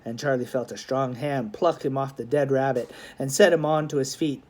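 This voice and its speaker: A man narrates a storybook in a medium-pitched voice. His reading is scripted and expressive, with a dramatic storyteller's delivery. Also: moderate pace. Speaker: audiobook narrator, schoolmaster.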